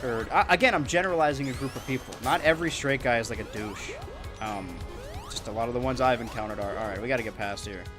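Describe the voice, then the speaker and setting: A young American man with a monotone voice, chatting loosely while streaming a video game, rambling with many restarts. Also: medium pitch. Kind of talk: casual gameplay chat.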